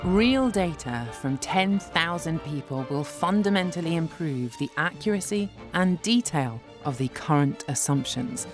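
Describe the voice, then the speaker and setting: A woman presenting a documentary in clear, measured scripted narration. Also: sits low. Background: background music.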